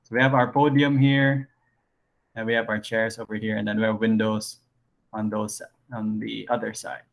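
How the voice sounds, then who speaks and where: A man's voice: level tone, moderate pace, vocal fry. A man teaching an online design class in a casual way, thinking aloud with frequent fillers. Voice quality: low voice.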